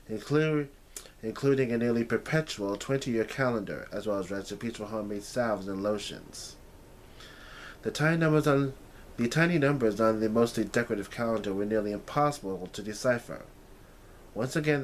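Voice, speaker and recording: A man's voice: mid-range voice, a little hiss or hum. A man narrating a story as if for an audiobook, occasionally stumbling and repeating phrases as he reads.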